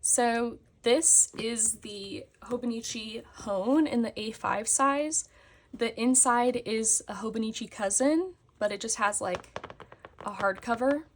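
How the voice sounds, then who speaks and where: A young woman, slightly hoarse after a concert, chatting casually as she shows her planners on camera. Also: on the high side, takes it slowly. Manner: chatty, with fillers and frequent laughs. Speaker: planner vlogger. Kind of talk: casual show-and-tell chat. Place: indoors at a desk, hands on a table.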